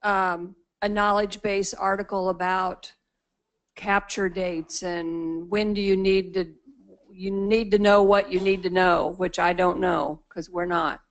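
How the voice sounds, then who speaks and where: A woman's voice: lowish voice, flat delivery, takes it slowly. A woman in a meeting audience asking questions, thinking aloud in an informal way. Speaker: audience member.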